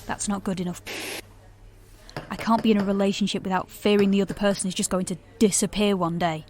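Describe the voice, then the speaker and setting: A woman's voice: mid-range voice, steady tone, a little fast, a little mellow, lightly acted. A woman acting in an audio drama as a cold, reproachful ex-partner with something menacing about her. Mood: bitter, accusing. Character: bitter, accusing ex-partner, a menacing apparition.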